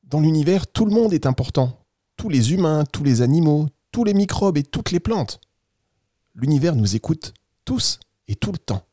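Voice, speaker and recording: Kindly storybook squirrel, performed by a man: soft, mellow, patient and reassuring, close dry sound. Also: fairly high pitch, big pitch swings, moderate pace, dry recording. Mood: earnest, reassuring. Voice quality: soft, gentle voice. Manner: patient, explaining simply to a child. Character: kind, reassuring talking red squirrel of children's tale.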